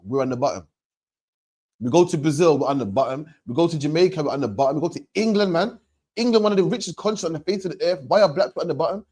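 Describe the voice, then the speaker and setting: Emphatic preaching man, slightly high voice. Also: a little mellow. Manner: emphatic, repeats points, ends lines with "man". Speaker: religious teacher. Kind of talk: street preaching to a questioner.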